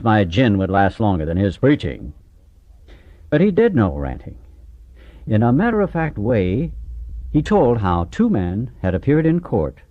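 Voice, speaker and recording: A man reading an audiobook aloud from a script in a dramatic, expressive way. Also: fairly low pitch. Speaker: amateur audiobook narrator. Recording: dull, echoey room sound.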